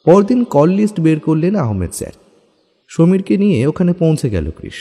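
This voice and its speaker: Animated male narrator with long reverb. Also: on the high side, moderate pace, husky. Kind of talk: dramatic scripted detective story narration.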